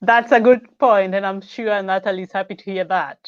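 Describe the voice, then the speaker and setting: A woman's voice: mid-range voice, steady tone, fairly quick, smooth, no echo. Woman host, upbeat, affirming, dry room, muffled call audio. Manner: upbeat, affirming, laughs often. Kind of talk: casual video call chat. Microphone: video call.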